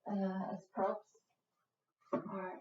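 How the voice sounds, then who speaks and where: Slow, echoey Swedish-accented woman. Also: mid-range voice, flat delivery, far from the mic, highs cut off, slight background noise. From Sweden. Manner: slow, patient, with many hesitations. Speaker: yoga instructor. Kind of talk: guided yoga class instruction.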